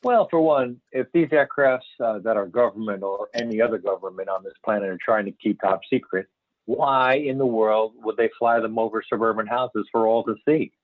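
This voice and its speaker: A man playing an earnest, assured UFO scientist in an amateur script reading, explaining emphatically with some halting stumbles. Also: lowish voice, natural voice. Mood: explanatory, emphatic. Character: male expert scientist investigating UFO reports, earnest and assured. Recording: tinny phone-like conference call, echoey room.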